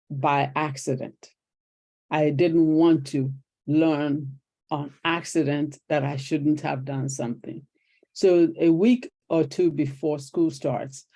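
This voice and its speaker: Older Nigerian woman, guest storyteller, rough-edged mellow tone. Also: low voice. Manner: animated storyteller acting out quoted exchanges. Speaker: guest, retired teacher. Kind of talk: conversational interview storytelling.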